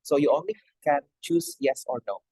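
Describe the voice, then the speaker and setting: A man's voice: fairly high pitch, moderate pace, breathy edge, no echo. Man, casual explainer, "yeah" tags, dry close sound, video call. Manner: relaxed, frequent "yeah" tags. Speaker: committee chair giving a training session. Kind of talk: casual online presentation.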